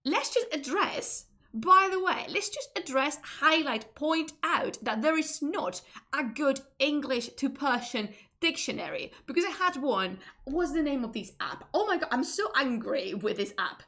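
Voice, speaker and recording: A woman's voice: high-pitched, expressive, a little slow, pushed and bright. A Spanish woman speaking English with a Spanish accent, chatting casually with many restarts. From Spain. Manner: chatty, self-interrupting, laughs often. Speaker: streamer. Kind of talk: casual chat.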